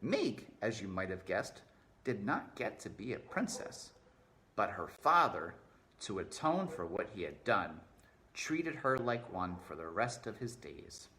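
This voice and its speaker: A man reading a children's novel aloud with deliberate, dramatic expression, addressing the listener directly. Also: lowish voice, big pitch swings, sharp tone, a little hiss or hum. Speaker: storybook reader.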